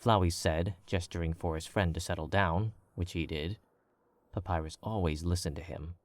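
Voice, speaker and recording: A man narrating a fan fiction as an audiobook, in a measured, wry storytelling manner. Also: low-pitched, steady tone, no room sound.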